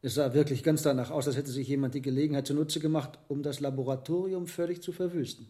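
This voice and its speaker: An actor playing a learned, hesitant medieval monk herbalist in a radio drama, speaking in a deliberate, musing way. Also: male voice, fairly high pitch, steady tone, mellow, lightly acted. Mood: pensive, doubtful. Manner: deliberate, musing, with reflective pauses. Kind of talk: German radio drama acting.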